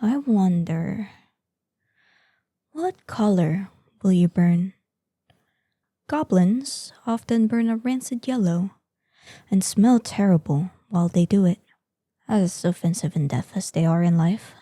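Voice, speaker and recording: A woman playing an ancient, proud female dragon in a scripted audio roleplay, imperious in manner, with a breathy voice that lapses into whispers. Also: medium pitch, warm tone, no echo, studio quality. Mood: languid threat. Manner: slow, imperious, savoring threats. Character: ancient, menacing, proud female dragon guarding hoard, lonely, excitable.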